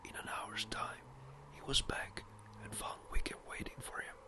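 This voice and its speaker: A narrator whispering a classic ghost story in a hushed, evenly paced ASMR reading. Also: medium pitch, wildly swooping pitch, moderate pace. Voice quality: soft unvoiced whisper.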